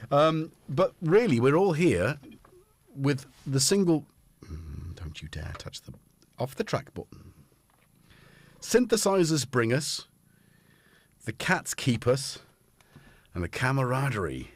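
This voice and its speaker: A man's voice: mid-range voice, no echo. A man hosting a casual livestream from his home music studio, rambling and joking and often cutting himself off mid-sentence. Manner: rambling, self-interrupting, jokey. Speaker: livestream host.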